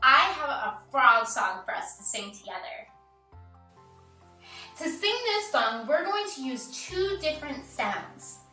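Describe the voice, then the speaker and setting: A woman's voice: high voice, big pitch swings, slow, bright, forceful tone, soft background music. A woman leading an interactive story and movement lesson for young children, giving simple, encouraging instructions in a sing-song way with repeats. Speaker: children's yoga and story time host. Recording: roomy echo, distant mic.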